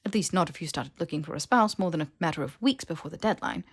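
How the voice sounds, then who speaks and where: A woman's voice: low voice, lively intonation, fast, husky, full character voice. A woman voicing an earnest, stubborn young wizard man who questions things in blurted disbelief, in a fantasy audiobook. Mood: puzzled, reasoning.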